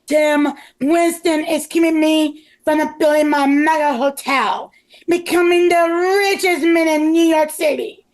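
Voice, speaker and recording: A woman's voice: sits high, fairly even intonation, a little slow. A woman voicing a greedy, scheming male villain with a blustering, petulant manner in a table read. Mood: scheming, frustrated. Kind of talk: table read of a comic script.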